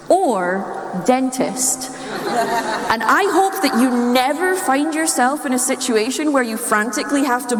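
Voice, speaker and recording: A higher-voiced Scottish woman delivers a playful, nerdy talk in front of an audience. Her voice rings out in a very echoey hall with long reverb. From Scotland. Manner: playful, chuckles at own wordplay. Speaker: speaker giving a talk. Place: large indoor room or hall, live talk.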